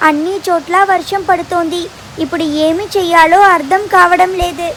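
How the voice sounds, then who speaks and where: A woman voicing a flustered, fretful mother in an animated family story, speaking in worried exclamations. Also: very high-pitched, normal speed, slight background noise. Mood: dismayed. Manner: exclamatory, fretting.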